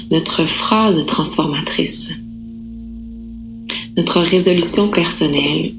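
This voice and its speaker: A woman yoga nidra instructor guiding a relaxation meditation in a calm, unhurried, soothing manner with gentle pauses. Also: low-pitched, vocal fry, low-quality recording.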